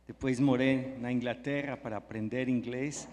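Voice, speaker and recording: A man's voice: mid-range voice, steady tone, moderate pace, a little mellow, a little hiss or hum. A Belgian man, a native French speaker, giving a friendly, enthusiastic conference talk in Portuguese mixed with Spanish. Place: large hall at a live event. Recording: echoey hall sound.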